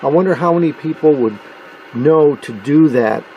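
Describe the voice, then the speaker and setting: A man with a husky voice giving a casual, hands-on technical commentary, thinking aloud as he works. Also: a little high, normal speed, lo-fi sound, a little hiss or hum. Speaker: host of an electronics repair vlog.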